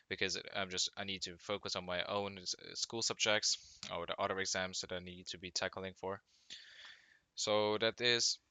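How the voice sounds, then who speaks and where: A young man whose first language is Turkish, speaking English with a Turkish accent as a vlogger giving reading tips, halting and restarting often as he searches for words. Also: low-pitched, bright, forceful tone, no room sound. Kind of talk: casual talk to camera.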